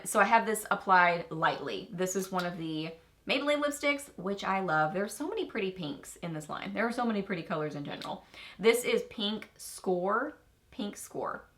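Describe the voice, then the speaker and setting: A woman with a Texan accent chatting through a casual makeup review, bubbly and easily excited, with rambling asides. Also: medium pitch. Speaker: beauty vlogger.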